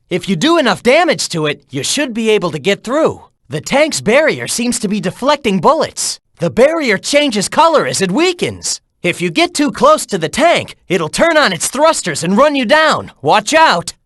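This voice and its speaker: An actor voicing a cocky, upbeat teenage hedgehog hero in a video game, cheeky and encouraging. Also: male voice, high voice, moderate pace, hardly any pauses, no room sound, studio quality, full character voice. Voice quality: youthful, bright, energetic. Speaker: video game character voice clips. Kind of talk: game voice acting, mission hints. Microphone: dry studio mic.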